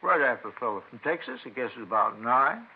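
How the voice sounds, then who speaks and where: A man playing a weary, desperate old father in a 1950s radio crime drama, with a creaky aged voice. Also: medium pitch, big pitch swings, fast, full character voice. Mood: weary, stubborn. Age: older. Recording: tinny, noisy vintage radio recording.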